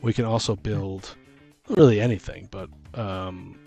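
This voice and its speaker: Excitable man brainstorming over background music. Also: fairly low pitch, a little slow, vocal fry. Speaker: host and dungeon master on a tabletop gaming show. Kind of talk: casual brainstorming chat.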